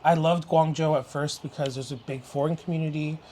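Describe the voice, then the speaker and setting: A man's voice: a little high, steady tone, brisk, mellow, a little hiss or hum. A young man from Canada, an interview guest talking casually and openly, laughing easily. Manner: open and chatty, laughs easily. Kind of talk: casual chat.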